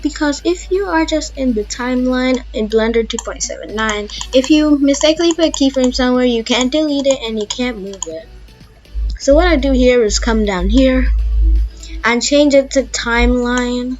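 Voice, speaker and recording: A woman hosting a casual software tutorial, talking informally and thinking aloud as she demonstrates. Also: high-pitched, normal speed. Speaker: tutorial host. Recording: dull lo-fi mic, background music.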